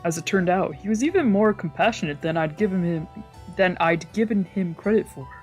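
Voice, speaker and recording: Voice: mid-range voice, lively intonation, fairly quick. A streamer reading a visual novel story aloud as narration, sometimes stumbling and rereading phrases. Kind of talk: scripted narration read aloud in a let's play. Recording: game music behind the voice. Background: visual novel music.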